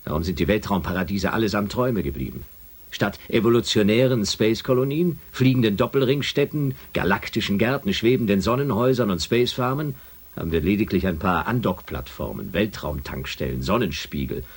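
A man playing a blunt, irritable space shuttle commander in a science fiction radio play, with colloquial speech and a husky voice. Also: lowish voice, lively intonation, fairly quick. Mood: sardonic.

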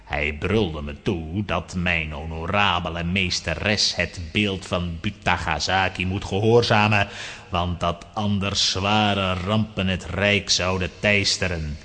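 A man's voice: deep voice, fairly even intonation, slow, sharp tone, exaggerated voice. An actor playing a pompous, ceremonious male court astrologer with a scheming streak in a children's audio drama, with a Flemish accent. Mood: ominous, solemn. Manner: ceremonious, formal.

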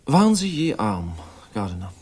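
A cynical gentleman villain in a sixties crime radio play. His low voice is measured and formal, with the self-mocking resignation of a guilty man who married for money. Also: huge pitch swings, husky, exaggerated voice.

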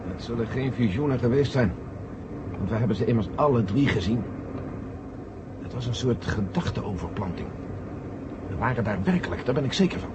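A man's voice: medium pitch, animated, moderate pace, pauses often, close to an everyday voice. A male actor playing an earnest, emphatic mission colleague in a 1970 radio drama. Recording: muffled, noisy, vehicle-like background.

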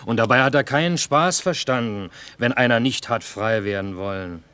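An actor playing a cynical army cook with a dry, ironic, measured delivery in a radio play. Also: male voice, mid-range voice, pushed and bright, clear, resonant tone, no room sound, natural voice. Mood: dry irony. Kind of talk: theatrical radio drama acting.

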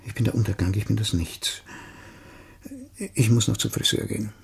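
A man's voice: fairly low pitch, steady tone, normal speed, a little hiss or hum. An actor playing a frail, gloomy man who styles himself as doom, morbid and ominous, in a radio drama. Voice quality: very husky, raspy.

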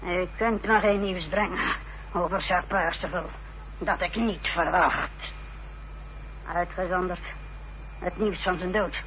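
A woman's voice: on the low side, steady tone. An actress playing a bitter, haughty, secretive mother in a radio drama, curt and contemptuous, on a dull, noisy old recording. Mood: bitterly mocking. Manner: curt, contemptuous, measured delivery.

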